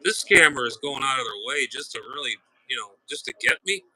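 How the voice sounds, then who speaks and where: Exasperated man with a sharp, forceful voice. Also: mid-range voice, lively intonation, brisk, dry recording, low-quality recording. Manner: exasperated, blunt, mildly profane. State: aggravated. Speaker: amateur radio hobbyist streamer. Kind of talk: casual rambling storytelling to viewers.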